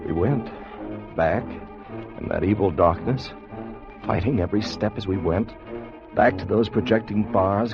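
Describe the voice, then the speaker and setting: Actor as deep-voiced, haunted time traveler, old radio. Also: male voice, close to an everyday voice. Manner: dramatic, measured storytelling. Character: weary, fearful male time traveler recounting his ordeal. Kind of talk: 1950s radio drama acting and first-person narration. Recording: muffled old radio broadcast with music.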